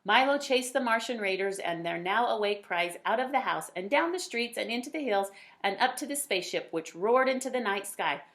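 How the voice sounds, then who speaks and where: A woman reading a children's picture book aloud, with dramatic emphasis and drawn-out words. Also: fairly high pitch, animated, fairly bright tone. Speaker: read-aloud storyteller.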